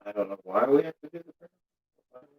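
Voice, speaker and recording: A man's voice: medium pitch, animated, a little fast, low-quality recording. A young man with a Texan accent, chatting casually on a vlog in a teasing, laid-back way. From Texas. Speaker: vlogger. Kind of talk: casual chat.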